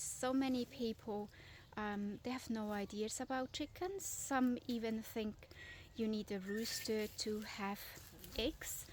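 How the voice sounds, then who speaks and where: A woman's voice: a little high, slight background noise. A middle-aged woman from Switzerland with a Swiss German accent, non-native in English, chatting casually with many fillers as she gives a walking tour of her garden outdoors. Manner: chatty, informal, with frequent fillers and restarts. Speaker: vlogger, lifestyle property owner.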